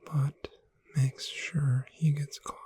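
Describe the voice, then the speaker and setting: A woman whispering in a soothing, deliberate ASMR style, reading aloud to lull a listener to sleep. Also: deep voice, flat delivery, dark tone, slightly rough, dry recording. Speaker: ASMR performer.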